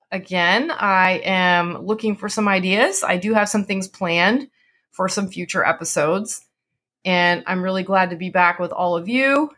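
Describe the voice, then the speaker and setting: A woman's voice: on the low side, sharp tone. A woman hosting a podcast, moving between dramatic story narration and casual chat. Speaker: podcast host and story reader.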